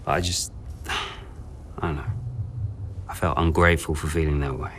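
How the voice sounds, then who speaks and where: A man's voice: very deep voice, fairly even intonation, normal speed, fairly bright tone, a little hiss or hum. An actor playing a burdened, dry male racing team boss in an understated, natural game cutscene style. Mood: subdued, troubled.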